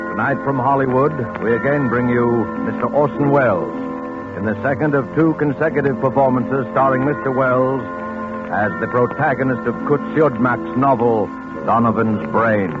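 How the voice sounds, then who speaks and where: An actor playing a mysterious, solemn host who formally introduces thrillers on 1940s radio, in an old broadcast recording. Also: male voice, mid-range voice, moderate pace, loud music behind the voice, close to an everyday voice. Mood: solemn, suspenseful. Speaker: host of a suspense anthology. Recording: tinny, band-limited 1940s radio recording.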